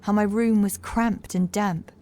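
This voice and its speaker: A woman playing a young housekeeper in a horror audiobook, calm and assured, with measured, formal speech and a touch of sorrow. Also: medium pitch, natural voice. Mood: confiding, wistful. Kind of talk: horror audiobook character acting.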